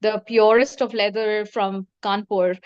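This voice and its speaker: A woman's voice: mid-range voice, flat delivery, a little slow, smooth, no echo. A woman in her thirties from Germany, telling her personal story conversationally as an interview guest, on dull lo-fi audio. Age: 30s. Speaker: interview guest, doctor and small business founder.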